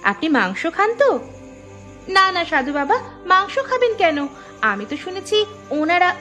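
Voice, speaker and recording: A woman acts out a poor young village girl from a cartoon folktale. Her voice is very high, earnest and trusting, with polite innocence. Music plays behind it. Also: sharp tone, heavily acted. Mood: innocent, curious. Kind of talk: Bengali cartoon folktale acting.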